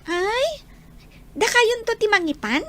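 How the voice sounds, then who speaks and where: An actress plays a young Ilocano daughter in an audio drama. Her voice is very high, and she chats affectionately, like family at home. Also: expressive, slow pace, sharp tone, exaggerated voice. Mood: bright, affectionate.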